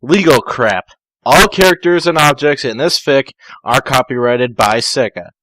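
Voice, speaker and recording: Slow-paced man with a bright, dry-room sound. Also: medium pitch, no echo, low-quality recording. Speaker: amateur fan fiction reader. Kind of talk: reading a story aloud with mocking asides.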